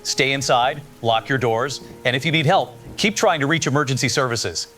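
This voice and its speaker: A man's voice: medium pitch, moderate pace, bright, forceful tone, a little hiss or hum, natural voice. A man playing a concerned radio news host in an audio drama, courteous and earnest as he questions people. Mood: sober. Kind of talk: live call-in news show in an audio drama.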